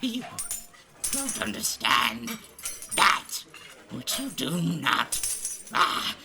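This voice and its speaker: A man's voice: medium pitch, huge pitch swings, extremely slow, vocal fry, sharp tone, a little hiss or hum, full character voice. A man playing an oily, wheedling crooked businessman in an audio drama, with a theatrical, fawning manner. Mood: pleading. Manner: theatrical, fawning, swooping between purrs and squeals. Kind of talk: audio drama character acting.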